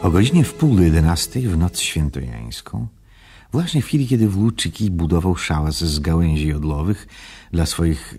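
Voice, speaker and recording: A man reading scripted storybook narration with a gravelly voice. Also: low voice, dark tone, exaggerated voice.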